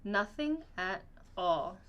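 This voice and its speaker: A woman reading a children's picture book aloud in an expressive, storytelling manner. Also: medium pitch, takes it slowly, highs cut off. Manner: expressive, acts out dialogue. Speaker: storybook reader.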